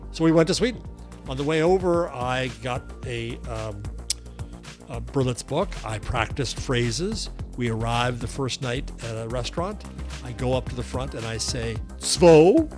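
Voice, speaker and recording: A man's voice: mid-range voice, lively intonation, a little slow, music in the background. Wry, digressive older man co-hosting. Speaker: podcast co-host. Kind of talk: casual chat.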